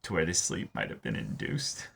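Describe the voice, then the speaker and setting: A man's voice: low voice, wildly swooping pitch, moderate pace, creaky, fairly bright tone, slightly rough. A man co-hosting a casual anime discussion, rambling and often repeating his phrases. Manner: rambling, repeats phrases, acts out quoted dialogue. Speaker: co-host of anime reaction show.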